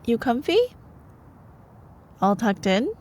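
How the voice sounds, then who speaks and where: A young woman playing a sweet, teasing girlfriend in an intimate ASMR roleplay, soft and playful, sometimes dropping toward a breathy whisper. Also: on the high side, big pitch swings, slow, slight background noise. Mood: playful, affectionate. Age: young adult. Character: sweet, teasing young girlfriend, a sleepy-hours college student. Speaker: ASMR voice performer.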